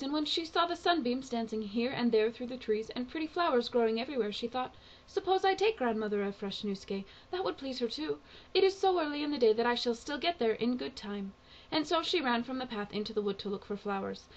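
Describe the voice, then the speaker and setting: A woman narrating a bedtime fairy tale, reading aloud. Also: a little high. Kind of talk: storybook narration. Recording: dull, lo-fi home recording.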